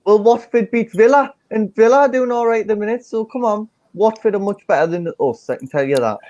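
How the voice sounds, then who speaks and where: A man's voice: high-pitched, fairly quick, darkish tone, no room sound, highs cut off. A man in a casual football chat, blunt and insistent, repeating his points.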